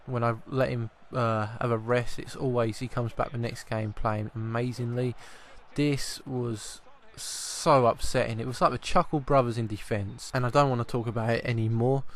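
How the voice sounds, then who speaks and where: A man, slightly croaky with a cough, giving chatty football video game commentary and often repeating his first words. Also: medium pitch, fairly even intonation, moderate pace, few pauses, a little hiss or hum. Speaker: gaming channel host.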